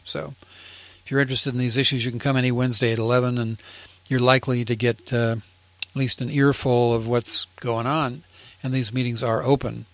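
A man hosting a community radio interview, talking in a relaxed, meandering way with many fillers. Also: medium pitch, dry recording. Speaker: radio host.

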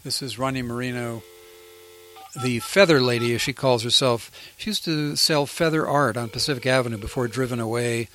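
A man's voice: mid-range voice, moderate pace, sharp tone, a little hiss or hum. A man hosts a community radio program in an easygoing way, meandering through his remarks. He often stops on fillers before he reaches his point. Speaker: radio host. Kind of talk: radio interview.

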